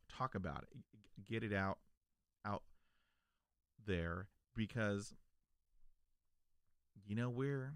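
A man who stutters, repeating first sounds, speaks earnestly to his viewers from a small home studio. His voice sits on the low side and comes through a close podcast microphone with a very dry, dead sound. He stays encouraging throughout. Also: gravelly, no room sound. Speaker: vlogger, podcast host. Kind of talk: personal talk to camera. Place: home studio room, indoors. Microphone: large podcast mic on a boom arm.

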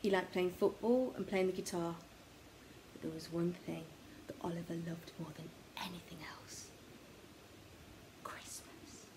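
A woman's voice: lowish voice, steady tone, measured pace, pauses often. A middle-aged woman narrating a children's story aloud at home, on a slightly noisy recording. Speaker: story reader. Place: room at home. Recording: faint noise, home recording.

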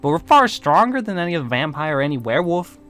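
A man's voice: a little high, very animated, a little fast, a bit bright, faint music behind. A man playing a bitter, proud, suspicious warlock leader in an amateur game roleplay, brooding dramatically and snapping back with sassy slang.

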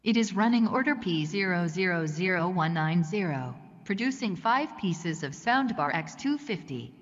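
A woman's voice: lowish voice, expressive, moderate pace, lightly acted. A synthetic female voice acting as a digital voice assistant, reading out order details evenly. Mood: informative. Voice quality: smooth synthetic text-to-speech. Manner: reads out data evenly. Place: played from a phone on a conference stage. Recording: dull phone speaker in a reverberant hall.

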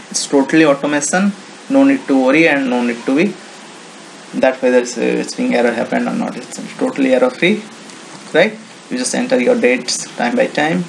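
A man with an Indian accent demonstrating software in an informal screen-recorded tutorial, with non-native English phrasing and frequent repetition. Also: medium pitch, gravelly. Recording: noisy home-made screen recording.

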